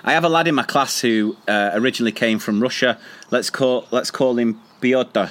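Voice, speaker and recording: A British man and teacher co-hosting a casual podcast, chatty and quick to laugh as he reads listener stories. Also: mid-range voice, fairly bright tone. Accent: British English. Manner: chatty, laughs often, trails off and restarts. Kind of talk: casual podcast chat, reading listener messages.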